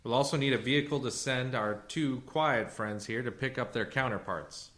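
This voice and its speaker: An actor playing a calm, shrewd fugitive leader and technician in a radio science fiction drama, with a measured, dry, authoritative manner. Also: male voice, medium pitch, natural voice. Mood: focused, dry.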